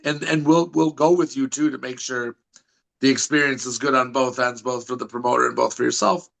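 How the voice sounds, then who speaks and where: A man with a Michigan accent speaks as a podcast guest, his voice bright and forceful at an ordinary pitch. He talks confidently and promotionally, often stopping to restart a sentence mid-thought. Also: steady tone, a little fast, creaky. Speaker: wrestling school head, guest. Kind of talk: podcast interview.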